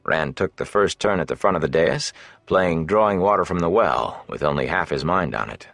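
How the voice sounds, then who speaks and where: A man's voice: low-pitched, animated, moderate pace, vocal fry, a bit bright, no room sound, soft background music. A man reading a fantasy audiobook as dramatic scripted narration, close to the microphone.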